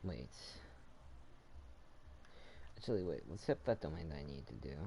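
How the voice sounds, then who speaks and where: A young man commenting casually on his gameplay. His low voice moves around a lot, and he halts and repeats himself informally. The home mic recording is clearly noisy, with clicks. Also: big pitch swings, mellow. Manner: halting, repetitive, informal. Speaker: gaming streamer.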